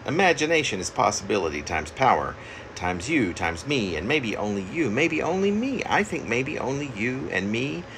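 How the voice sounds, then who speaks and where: A man reading his own poem aloud, haltingly, repeating phrases like an incantation, recorded on a phone in a room at home. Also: medium pitch, big pitch swings, gravelly, noisy background. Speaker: poet reading own work. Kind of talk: poetry reading. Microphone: phone at arm's length.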